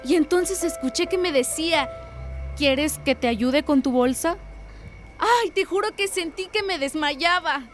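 A woman's voice: high voice, unhurried, faint music behind. A woman playing a gushing, lovestruck teenage girl in a radio drama, with a breathless, effusive manner. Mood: swooning, bashful.

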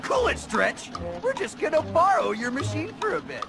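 A man playing a brash, greedy boy schemer in a cartoon game, loud and pushy with a gravelly edge. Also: very high-pitched, wildly swooping pitch, normal speed, heavily acted. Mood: bossy. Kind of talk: cartoon video game acting. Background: music.

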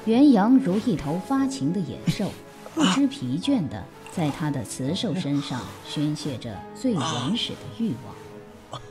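A woman's voice: sits low, big pitch swings, measured pace, over music. A woman narrating an audio drama in a scripted, dramatic storytelling style.

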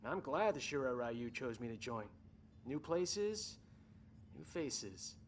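An actor playing a calm, agreeable young ninja in a video game cutscene. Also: male voice, medium pitch, slow, slight background noise. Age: young adult.

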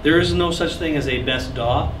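A man's voice: a little high, normal speed. Chatty man teaching in an echoey room. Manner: chatty, joking with the audience, gesturing while explaining. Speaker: recording clinic instructor. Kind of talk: live classroom workshop. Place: small classroom with seated audience. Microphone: camera at the back of the room. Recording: echoey room heard from far off, noisy.

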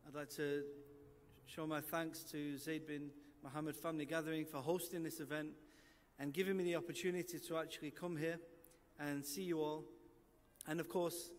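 A man, earnestly telling his personal story to an audience from a desk microphone on an outdoor stage at night. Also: a little high. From England. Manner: earnest and reflective, gesturing with hands. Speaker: guest speaker. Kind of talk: personal testimony lecture. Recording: long reverb of a large open venue.

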